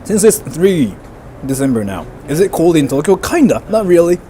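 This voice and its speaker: A man's voice: on the high side, very animated, moderate pace, mellow. A young man chatting casually on a live stream as he walks outdoors, rambling and reading out viewer comments, with street sounds behind him. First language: bilingual English and Japanese. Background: faint street and traffic noise.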